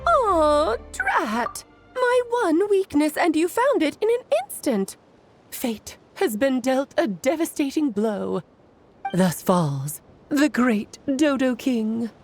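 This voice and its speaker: A woman's voice: high-pitched, sing-song, slow pace, soft background music, exaggerated voice. A woman voicing a playful, eccentric, theatrical adult witch in a video game, with a whimsical manner. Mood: mock despair.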